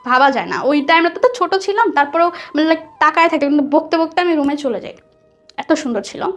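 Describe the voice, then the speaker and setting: Woman, Bangladeshi accent, high voice, animated conversational storytelling, English words mixed in, soft music underneath. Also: a little fast. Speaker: guest telling a personal story. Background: soft background music.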